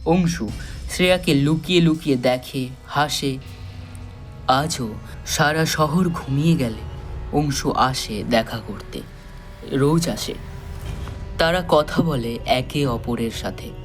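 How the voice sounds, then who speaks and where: A man narrating a scripted story solemnly and dramatically, with measured pauses. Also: medium pitch, soft background music.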